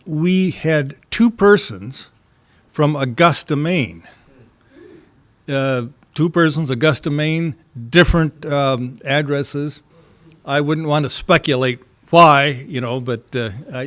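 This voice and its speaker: A man's voice: a little high, no room sound. A man chairing a club meeting informally, speaking in a polite, hesitant and rambling way.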